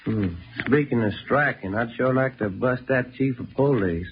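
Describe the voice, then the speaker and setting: An actor playing a cheerful, hot-headed detective with a folksy American Southern drawl in a 1940s radio drama. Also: male voice, mid-range voice, moderate pace, close to an everyday voice. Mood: needling. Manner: folksy, drawling, slangy grumbling. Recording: dull, noisy old radio recording.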